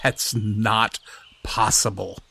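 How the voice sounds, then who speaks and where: A man acting in an audio drama as a stern gentleman enforcer, formal and commanding, with cold menace. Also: fairly low pitch, little pitch movement, takes it slowly, creaky, husky, heavily acted. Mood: shaken.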